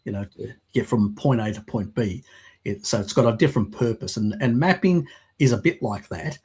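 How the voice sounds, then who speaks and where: Casting: man, low and husky, rambling explainer with lots of restarts, slight cold croak. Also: mellow. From Australia. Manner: rambling, halting with fillers and restarts. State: lingering croak from a recent cold. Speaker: guest, works in mapping. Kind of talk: casual live-stream chat. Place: home, video call. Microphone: video call.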